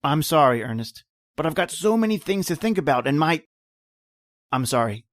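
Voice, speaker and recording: A man playing an obsessed, defensive young inventor in an old-time radio horror drama, earnest and halting when pressed. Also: a little high, very animated, fairly bright tone, dry recording, clean studio sound. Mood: defensive, distracted.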